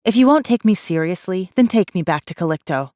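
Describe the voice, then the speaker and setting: A woman's voice: fairly low pitch, lively intonation, fairly quick, a little mellow, dry recording, studio quality, lightly acted. An actress playing a guarded, wry rebel messenger, narrating her own story in an intimate, wary, sardonic way for a space fantasy audiobook. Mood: urgent, firm. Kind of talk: first-person dramatic audiobook narration.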